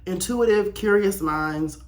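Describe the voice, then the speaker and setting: A man's voice: high voice, slow pace. A young man with a blunt, opinionated, slangy way of talking, giving casual solo commentary as he reads aloud and reacts. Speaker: host of a personal video channel.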